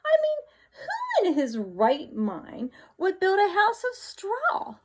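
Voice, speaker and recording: A woman voicing a chatty, self-excusing male wolf from a children's picture book, with exaggerated, drawn-out emphasis. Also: very high voice, huge pitch swings, voice pushed up, full character voice. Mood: animated storytelling.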